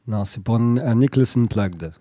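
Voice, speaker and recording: A middle-aged man born in France, a musician answering interview questions in a relaxed, rambling way with lots of fillers. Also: mid-range voice, normal speed, no room sound, studio quality. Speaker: musician guest.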